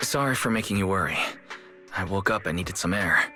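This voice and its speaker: A calm, low-voiced young manager. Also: male voice, fairly quick, faint music behind, full character voice. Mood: apologetic, easygoing. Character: calm, considerate, evasive young man, a team manager. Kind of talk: anime-style video game voice acting.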